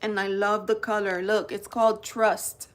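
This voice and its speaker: A woman's voice: medium pitch, level tone. A woman from New Jersey, hosting a live-stream card unboxing and greeting viewers by name. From New Jersey, United States. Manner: chatty, greets viewers by name while showing cards; slow, unhurried delivery. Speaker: tarot channel host.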